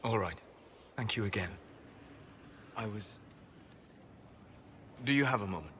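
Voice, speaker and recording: Grave, low-voiced scholarly elf mage. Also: male voice, animated, a bit bright, slight background noise. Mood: calm, reflective. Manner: measured, reflective, formal phrasing. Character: grave, scholarly elven mage, restrained and melancholy. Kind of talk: video game dialogue acting.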